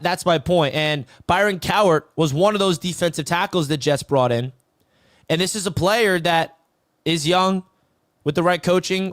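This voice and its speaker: A young man hosting a live sports talk stream, his voice raspy and worn from yelling, talking in a hyped, casual way and often tossing in "man.". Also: a little high, no room sound, studio quality. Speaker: sports podcast host. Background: soft background music.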